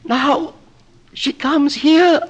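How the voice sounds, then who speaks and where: An actor playing a haunted, half-mad nobleman in a 1940s radio horror drama, brooding, halting and theatrical, on a vintage broadcast recording. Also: extremely high pitch, fairly even intonation, heavily acted. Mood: frenzied dread.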